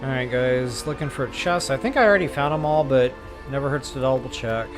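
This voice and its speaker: A man's voice: medium pitch, a little fast. A man hosting a video game walkthrough, giving casual commentary as he plays. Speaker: gaming walkthrough host. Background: game music.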